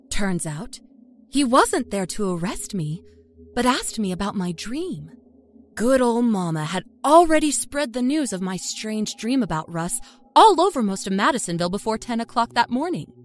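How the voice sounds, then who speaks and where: An actress plays a wry small-town woman looking back on her childhood without remorse. Her pitch moves freely as she narrates in a conversational, folksy way, like a dark audio story told first-hand. Music plays behind her voice.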